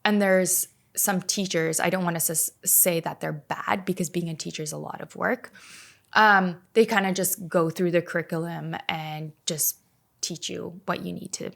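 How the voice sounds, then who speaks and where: A woman's voice: lowish voice, moderate pace, vocal fry. A woman in her thirties, a native Slovak speaker raised in Canada, chatting casually as a podcast guest with plenty of filler words. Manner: relaxed, many "like" fillers. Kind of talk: casual conversation.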